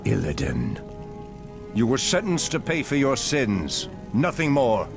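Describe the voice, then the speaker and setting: An actor playing a stern, ancient night elf druid leader, grave and commanding, in a video game cutscene. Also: male voice, mid-range voice, wildly swooping pitch, unhurried, gravelly, heavily acted. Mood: stern, disapproving. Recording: music behind.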